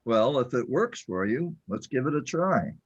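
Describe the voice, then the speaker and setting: A man playing a calm, patient guide in an audio drama, speaking in a husky, measured, reassuring way. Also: medium pitch, lo-fi sound. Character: calm patient male guide to a confused newcomer.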